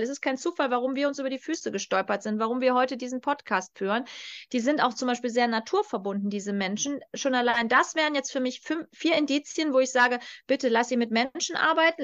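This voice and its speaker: Female podcast guest, strengths coach. Fairly high pitch, direct and emphatic, slightly dull recording. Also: a bit bright. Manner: emphatic, direct, with frequent checking tag questions. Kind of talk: explanatory coaching talk in a podcast.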